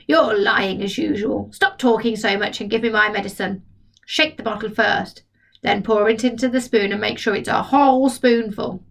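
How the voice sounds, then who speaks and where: A woman's voice: normal speed, gravelly, recorded from a distance. A woman voicing a cranky, bossy old grandmother who nags rudely and screeches in panic. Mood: nagging. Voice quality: shrill, piercing screech. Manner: nagging and rude, then frantic yelling. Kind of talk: storybook character voice.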